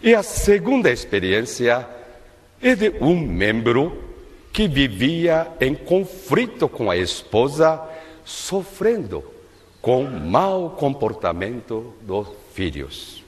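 An older man preaching a sermon at a lectern in a large worship hall, in a slow, measured, emphatic delivery. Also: mid-range voice, big pitch swings. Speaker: minister giving a testimony-based sermon. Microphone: lectern microphone. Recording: long reverb of a large hall.

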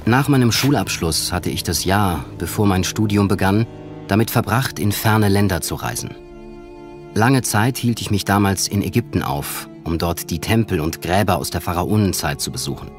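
An actor playing an educated gentleman, narrating and speaking formally in an eerie audio drama. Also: sits low, lively intonation. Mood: measured, uneasy. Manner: measured, formal, literary. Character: educated gentleman recounting an eerie past adventure. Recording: music under the voice.

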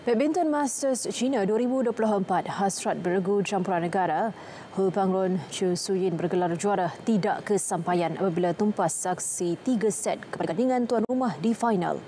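A young woman presenting sports news in a TV studio, reading a script in a steady, formal way. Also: medium pitch, normal speed, slight background noise. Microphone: studio mic. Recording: news music bed underneath.

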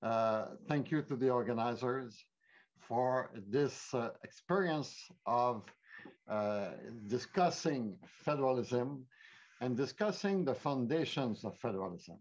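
An older man with a Quebec French accent lectures in a measured, explanatory way, pausing often on fillers. His voice sits at an ordinary pitch. The sound is muffled and dull, as from a headset on a video call. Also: animated, darkish tone. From Quebec City, Canada. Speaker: panelist, former minister. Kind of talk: formal bilingual lecture. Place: home office.

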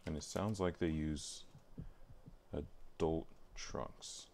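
Very deep-voiced, gravelly man muttering. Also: steady tone, very slow, darkish tone. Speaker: streamer. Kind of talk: thinking aloud while working on a livestream. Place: indoors at a computer, livestream. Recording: noticeable background noise, keyboard clicks. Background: typing and mouse clicking.

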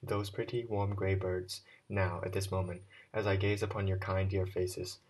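An earnest young man speaks gently, then with swelling feeling to a group of boys, as a male audiobook reader plays him. The voice is low and slightly husky, grave rather than bright. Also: little pitch movement, moderate pace, poor audio. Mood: heartfelt speech. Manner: earnest, rising to a heartfelt address.

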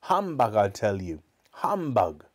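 A man's voice: on the low side, very animated, slow, breathy edge, heavily acted. A man voicing a trembling old miser who speaks imploringly in a storybook reading. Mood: defiant. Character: frightened old miser pleading with a ghost.

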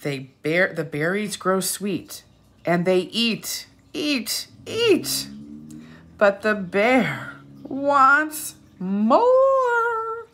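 A woman reading a picture book aloud expressively for young children, with pauses, asides and questions to her listeners. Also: mid-range voice, big pitch swings, a bit bright. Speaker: children's story reader and teacher.

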